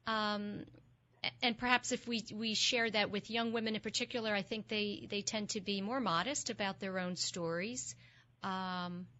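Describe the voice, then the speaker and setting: A woman's voice: medium pitch, steady tone, normal speed, bright, forceful tone, muffled recording. A woman hosting an interview, asking questions and reflecting in an encouraging way. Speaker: host. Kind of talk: interview conversation.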